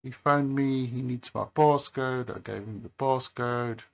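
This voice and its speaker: Slow, gravelly man at a workbench. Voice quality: low voice. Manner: slow, relaxed, with many hesitations. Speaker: phone repair channel host. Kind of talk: casual talk to camera while working. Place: workbench by a highway.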